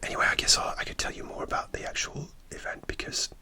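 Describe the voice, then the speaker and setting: Man whispering softly into a close mic. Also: quick pace. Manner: soft, rambling and hesitant, with many restarts. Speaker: ASMR creator. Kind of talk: whispered ASMR storytelling.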